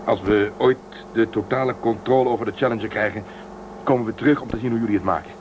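An actor playing a brisk, caring male crew member in a radio drama. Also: on the low side, fairly even intonation, background noise, close to an everyday voice. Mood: friendly. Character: resourceful male crewman Telsen, caring.

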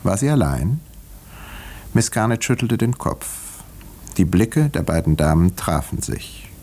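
An actor in a 1950s German radio play playing a sober, reserved village doctor, with measured, dry, understated delivery. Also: male voice, low voice, big pitch swings, mellow, a little hiss or hum, heavily acted. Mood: dry, wry. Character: sober, reserved male village doctor who narrates dryly.

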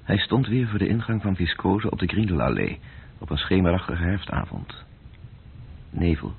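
A man narrating a literary radio play in a measured, detached, sombre manner. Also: deep voice, very animated, creaky, a little hiss or hum, heavily acted. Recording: thin, phone-like old radio recording.

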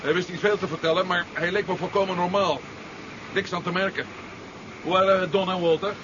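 An actor playing an earnest, doubting hero in a 1970s science fiction radio play. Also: male voice, high voice, fairly even intonation, a little fast, close to an everyday voice. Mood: doubtful, earnest. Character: earnest, doubting male hero, friend of the general. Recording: dull, noisy 1970s radio drama recording.

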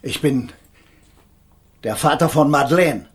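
An actor in a radio crime drama playing a middle-aged defence lawyer, an earnest and controlled father, speaking in a measured, formal way. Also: on the high side, lightly acted. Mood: grave, sorrowful.

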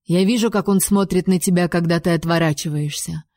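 A woman's voice: lowish voice, fairly even intonation, fairly quick, a little mellow, dry recording, studio quality, natural voice. A woman reading an audiobook, voicing a stern, jealous king who gives curt commands.

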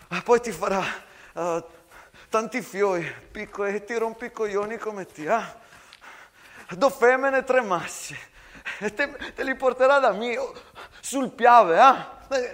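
Man acting desperate, stammering Venetian soldier, high-voiced. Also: fairly bright tone. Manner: stammering, repetitive, urgent pleading. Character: desperate young rustic soldier pleading with dying comrade. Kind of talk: theatrical war monologue.

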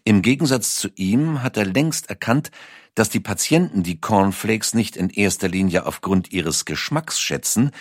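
A man reading scripted documentary narration in a measured, carefully articulated storytelling manner. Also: fairly low pitch, dry recording, studio quality.

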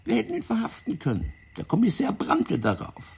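Husky, wildly animated spymaster. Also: male voice, sits high, heavily acted. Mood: calculating, insistent. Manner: theatrical, condescending, drawn-out explaining. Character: fat, wily, self-righteous male French intelligence officer. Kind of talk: German radio drama acting.

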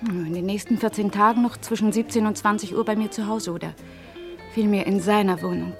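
Emotional nightlife performer played by a woman, mid-pitched. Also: fairly even intonation, a little fast, gravelly, over music, natural voice. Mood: wounded, resigned. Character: emotional female Paris nightlife performer, murdered doctor's lover. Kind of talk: German crime radio play acting.

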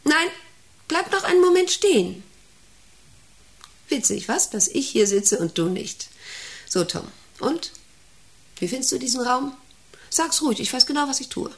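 An actress in a radio play as a domineering, eccentric factory director who rambles, commands and asks intrusive questions. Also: female voice, high-pitched, big pitch swings, a little slow, long pauses, heavily acted. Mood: expansive, restless. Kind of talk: German radio play acting.

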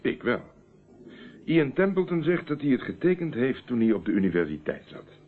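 A man's voice: medium pitch, very animated, normal speed, breathy edge. A man acting in a radio play as a stubborn, evasive investigator, answering curtly and dryly. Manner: curt, dry, stubborn. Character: defiant, evasive male amateur investigator under police questioning. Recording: muffled, narrow-band old radio recording.

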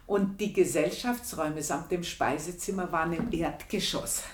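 An actress playing an older, passionate, scheming maidservant in a radio drama monologue, with an intense, deliberate, breathy delivery. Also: female voice, deep voice. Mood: resentful recollection.

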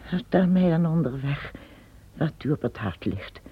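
An actress playing an older empress, lost and weary as a pilgrim, in an old radio play. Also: female voice, deep voice, animated, unhurried, phone-quality sound, slight background noise. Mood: uneasy. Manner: weary and uneasy. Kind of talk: radio drama acting.

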